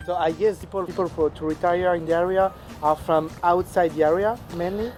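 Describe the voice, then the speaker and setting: A man with a French accent interviews someone outdoors, speaking English hesitantly with frequent fillers. His voice sits a bit high for a man. Faint music plays in the background. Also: steady tone, a little fast, darkish tone. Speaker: interviewer and channel host. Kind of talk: interview and vlog intro.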